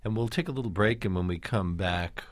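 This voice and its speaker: A man hosting a radio interview, asking questions in a calm, inquisitive way with slow pauses. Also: lowish voice, dry recording.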